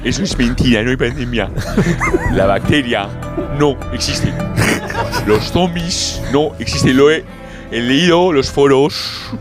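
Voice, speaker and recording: A man's voice: mid-range voice, measured pace, music in the background, close to an everyday voice. An actor playing a flamboyant, stubborn male pop star who spouts conspiracy theories, emphatic and repetitive, in a radio comedy sketch. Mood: defiant.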